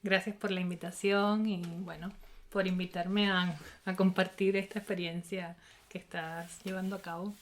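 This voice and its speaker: A woman's voice: on the low side, level tone, moderate pace. A woman in her forties with a Cuban accent, a linguistics professor talking in an interview. She answers thoughtfully, with many fillers, and laughs easily. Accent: Cuban Spanish. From Cuba.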